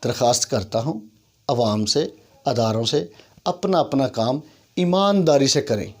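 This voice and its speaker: A man's voice: mid-range voice, lively intonation, unhurried. A man with a Pakistani accent delivering an earnest, complaining opinion monologue, emphatic and full of rhetorical repetition. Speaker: YouTube commentator. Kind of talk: opinion monologue on civic issues.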